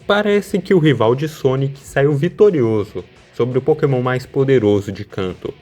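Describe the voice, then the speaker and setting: A man's voice: medium pitch, normal speed, darkish tone. Brazilian man narrating over music. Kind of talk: scripted narration, versus-battle analysis. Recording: music behind the voice. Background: background music.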